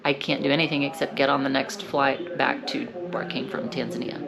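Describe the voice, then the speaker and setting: A woman vlogger speaking candidly to camera, upset and anxious, with hesitant pauses. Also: rumbling low, vocal fry, over a noisy crowd. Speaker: travel vlogger. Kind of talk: candid vlog talk to camera.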